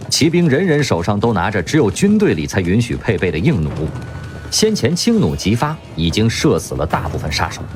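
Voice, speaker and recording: A man narrating a radio drama in a vivid, expressive storytelling manner. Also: on the low side, fairly quick. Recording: music underneath.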